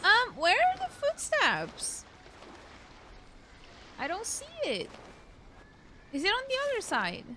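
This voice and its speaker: A woman's voice: very high voice, huge pitch swings, slow, pauses often, bright, forceful tone, a little hiss or hum. A young woman giving casual let's play commentary, thinking aloud in a giggly, self-deprecating way. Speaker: streamer playing a game. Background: game music.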